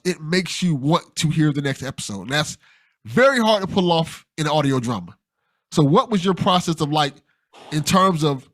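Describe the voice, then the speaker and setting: A man hosts a casual interview show in an excitable, conversational way. His voice sits a bit high for a man and moves around with lots of lively intonation. He often repeats himself as he makes a point. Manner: excitable, repeats phrases, sprinkles "like". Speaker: podcast host interviewing a guest. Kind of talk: casual enthusiastic chat.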